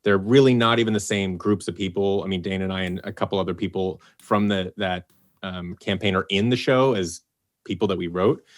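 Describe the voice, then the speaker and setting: A man chatting on a video call, telling animated stories and acting out quoted lines. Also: low-pitched, fairly quick. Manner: animated storyteller, acts out quotes. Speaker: podcast guest, head writer. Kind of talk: casual chat on a video call.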